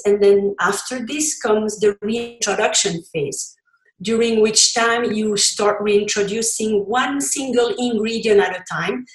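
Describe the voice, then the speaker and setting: A woman's voice: fairly high pitch, echoey room, low-quality recording. A Belgian woman whose first language is French, speaking English with a French accent as a podcast guest, explaining at length with fillers and restarts. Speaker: podcast guest, cookbook author. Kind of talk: conversational interview.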